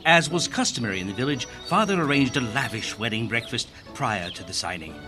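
A man's voice: mid-range voice, animated, a little fast. A man giving dramatic scripted narration in a fairy-tale radio drama. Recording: music bed under voice.

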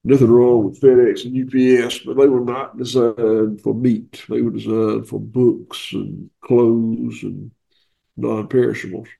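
An older Southern man speaks in a gravelly, husky voice, stuffed up from a cold. He talks in a plainspoken, folksy way over a video call, with a dark, mellow tone. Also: mid-range voice, flat delivery, poor audio. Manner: folksy, plainspoken, halting with fillers. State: head cold, stuffy nose. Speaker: guest farmer. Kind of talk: casual interview.

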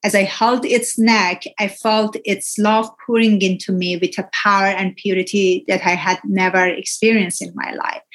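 A woman's voice: medium pitch, little pitch movement, moderate pace, few pauses, fairly bright tone. A woman in her forties speaks English with a Persian accent, since Farsi is her first language. She tells her story in an earnest way, often stopping on "uh" and making small slips in grammar. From Iran. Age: 40s. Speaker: interview guest. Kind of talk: personal testimony in an interview.

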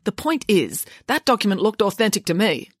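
Storybook role: woman acting a pompous, long-suffering headmaster, dry wit, big pitch swings, close dry recording. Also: big pitch swings, brisk, husky, no echo. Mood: dry, authoritative. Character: exasperated, pompous male school headmaster.

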